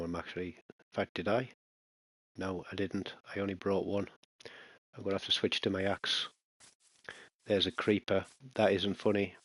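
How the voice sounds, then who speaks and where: Male gamer, very deep voice, close and dry, loose muttering commentary with sudden alarm. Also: no room sound. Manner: chatty, muttering to himself, bursts of alarm at mobs. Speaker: gaming channel host. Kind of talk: casual let's-play commentary.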